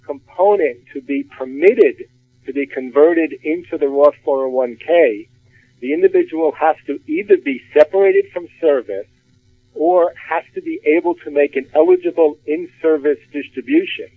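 A man, a retirement plan expert, explaining rules in a measured way on a radio finance discussion. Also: a little high, no echo. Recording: phone-like narrow band.

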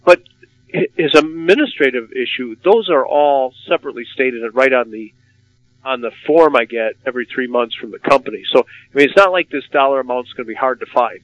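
A man's voice: mid-range voice, fairly quick, fairly bright tone, no room sound. A man hosting a radio finance discussion, asking questions and often repeating words as he starts. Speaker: radio host. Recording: dull lo-fi radio sound.

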